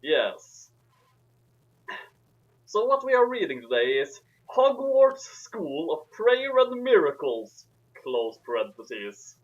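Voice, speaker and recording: Animated young man, dull lo-fi recording. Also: a little high, slow. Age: young adult. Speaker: host of a fan fiction reading show. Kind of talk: casual introduction and chat. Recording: dull, lo-fi sound.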